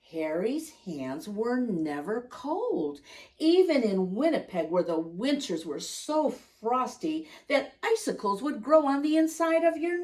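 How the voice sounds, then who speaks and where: A woman hosting storytime for young children, reading aloud and speaking directly to them with gentle questions and simple explanations. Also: medium pitch, recorded from a distance. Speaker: children's storytime host and reader.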